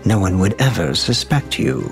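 A scheming scientist. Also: male voice, lowish voice, expressive, vocal fry, faint music behind. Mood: persuasive. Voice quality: measured, formal phrasing. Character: scheming male scientist seeking atonement. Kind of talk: video game voice acting.

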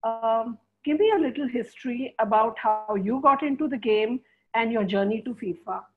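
A woman moderating a webinar through a headset, polite and halting as she thanks her guest and asks a question. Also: a little high, husky. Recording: tinny phone-like video call.

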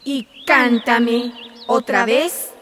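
A woman voicing a sly, flattering female fox in an animated fairy tale, coaxing and syrupy. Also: on the high side, extremely slow, slight background noise. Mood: sly, wheedling.

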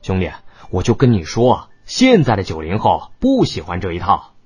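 Male actor as smug young man, swooping intonation, husky low-leaning voice, needling drawl, audiobook with faint music. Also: wildly swooping pitch, normal speed, a little mellow, exaggerated voice. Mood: smug teasing. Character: cocky, mocking young man, the heroine's brash older brother. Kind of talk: audiobook character dialogue.

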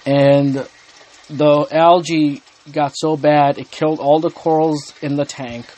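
A man's voice: fairly high pitch, fairly even intonation, takes it slowly, darkish tone, low-quality recording. A man hosting a hobby channel, talking casually and unhurriedly, with frequent ums and restarts as he explains his tank. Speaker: aquarium hobby channel host. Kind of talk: casual explanatory talk to camera. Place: indoor home room with the aquarium.

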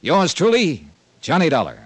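An actor playing a terse, wry insurance investigator, narrating and talking in 1950s radio detective style. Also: male voice, fairly high pitch, huge pitch swings, moderate pace. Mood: brisk. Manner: terse, wry, clipped delivery. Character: hard-boiled, wry adult male insurance investigator.

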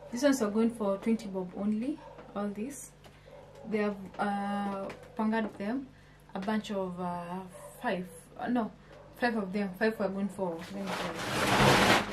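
A woman's voice: medium pitch, a little hiss or hum, low-quality recording. Chatty young Kenyan woman vlogging. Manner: chatty, addresses viewers with questions, often restarts phrases. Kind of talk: casual haul vlog. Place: small home kitchen, indoors.

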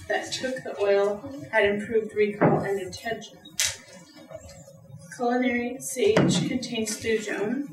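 A young woman giving a class presentation, reading earnestly from her notes with a few fillers. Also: mid-range voice. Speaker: student presenter. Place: lecture room with audience. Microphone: far camera. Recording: echoey room from far off.

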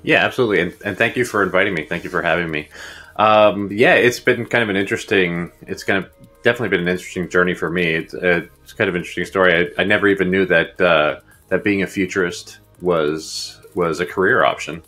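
A man's voice: deep voice, fast talker, sharp tone, soft background music. A man in his fifties, a guest futurist, answering at length with many ums and restarts over a video call from a home office. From Uruguay. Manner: rambling, many fillers and restarts. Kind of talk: casual interview answers. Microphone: earbuds on video call.

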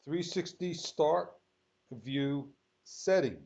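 A man giving an unscripted software tutorial, thinking aloud in short, halting phrases as he works. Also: a little high, gravelly. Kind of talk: unscripted screen-recorded tutorial. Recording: dull lo-fi sound.

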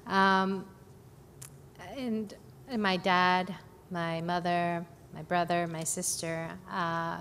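A woman from Brooklyn recounting her life in an oral history interview, hesitant with many pauses and fillers. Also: lowish voice, flat delivery, fairly bright tone. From Brooklyn, New York. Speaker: oral history narrator on a panel. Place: conference hall. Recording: echoey hall, faint background noise.

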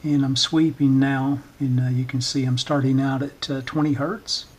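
A man giving an informal hands-on demonstration in short, halting, hesitant phrases, talking at a workbench indoors. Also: mid-range voice, little pitch movement, darkish tone, breathy edge. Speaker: electronics repair channel host, demonstrator.

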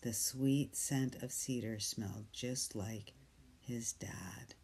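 A storyteller reading a picture book aloud, gentle and soft, sometimes dropping to a whisper. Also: mid-range voice, slow, gravelly, husky. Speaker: storybook reader.

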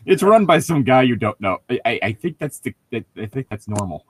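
A man's voice: medium pitch, very animated, fairly quick, fairly bright tone, husky, no room sound. A man giving casual, mocking comedic commentary among co-hosts, swearing freely. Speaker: co-host.

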